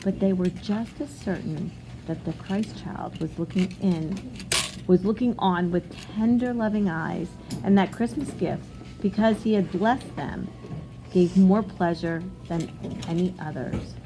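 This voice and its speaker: A woman reading a storybook aloud like a teacher, expressive and instructive, pausing to put questions to young listeners. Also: fairly low pitch, music in the background, low-quality recording.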